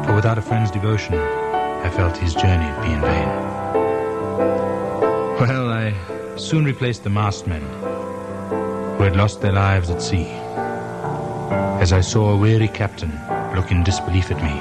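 Low male reciter, measured and theatrical, telling a rhymed tale over prominent music. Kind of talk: dramatic storytelling in rhymed verse over music. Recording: loud music under the voice. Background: musical instruments.

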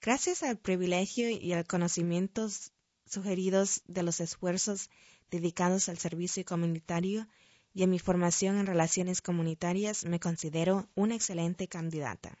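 The woman you would hear reading an official printed guide aloud for an audio edition, formal and measured, in a small dead-sounding booth with slightly dull sound. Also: on the low side, steady tone, dark tone, no echo. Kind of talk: scripted narration, read-aloud questionnaire.

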